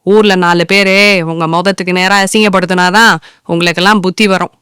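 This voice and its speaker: A woman voicing an exasperated, nagging, sarcastic wife in an animated moral story. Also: fairly low pitch, a little fast, clean tone, dry recording, clean studio sound, lightly acted. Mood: scornful. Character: exasperated, nagging wife scorning her husband's plans.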